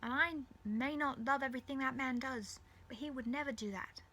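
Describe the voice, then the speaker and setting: A woman's voice: high-pitched, moderate pace, sharp tone, pitched above the performer's own voice. A woman voicing a tender, reassuring middle-aged mother, soothing and earnest, in a dramatised story reading. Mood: consoling.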